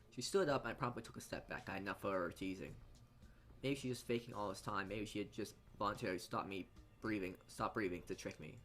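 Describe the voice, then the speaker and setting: A man reading a visual novel aloud as dramatic narration, halting often as he stumbles over and repeats words. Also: lowish voice, fairly bright tone. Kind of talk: dramatic read-aloud narration for a let's play.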